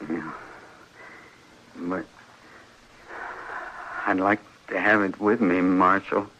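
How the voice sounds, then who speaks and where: A man's voice: low voice, steady tone, slow, pushed and bright, slight background noise, heavily acted. An actor playing an obsessed, lovelorn, simple frontier man in a radio western, speaking in an earnest, repetitive rustic way. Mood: weak, dying. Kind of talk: old-time radio western acting. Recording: dull, lo-fi old radio recording.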